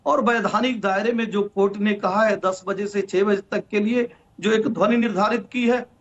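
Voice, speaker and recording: A man's voice: high voice, fairly even intonation, normal speed, dull audio. A man arguing formally and emphatically as a party spokesman in a debate, over a band-limited feed. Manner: emphatic, declarative. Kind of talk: formal political argument.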